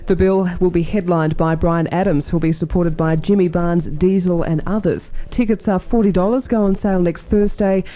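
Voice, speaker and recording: A woman's voice: lowish voice, warm tone. A woman with an Australian accent reading a radio news bulletin. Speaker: newsreader.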